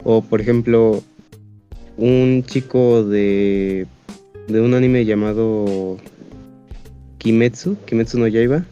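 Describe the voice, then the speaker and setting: Mellow young Mexican man, muffled audio. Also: on the low side, fairly even intonation, slow, pauses often, soft background music. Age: young adult. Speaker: interview guest, amateur fandub voice actor. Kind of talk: casual interview chat.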